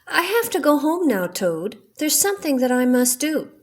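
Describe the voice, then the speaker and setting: Woman voicing an eager, high-pitched storybook frog. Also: sing-song, moderate pace. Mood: purposeful. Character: eager, kindly male frog, loyal best friend. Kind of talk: children's storybook read-aloud.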